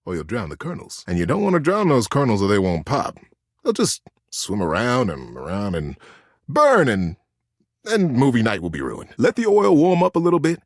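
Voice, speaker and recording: A man voicing a lovable old teddy bear in a video game, a gentle, encouraging buddy with a folksy, playful, earnest way of giving advice and a husky voice. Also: on the high side, dry recording, clean studio sound, exaggerated voice. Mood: cheerful, instructive. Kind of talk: video game character monologue, storytelling advice.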